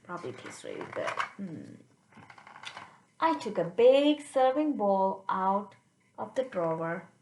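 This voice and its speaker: A woman's voice: mid-range voice, animated, slow, a little mellow, reverberant, slight background noise, rough recording. A woman reading a storybook aloud to young children, often turning to the listeners with chatty questions. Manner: addresses listeners directly with checking questions.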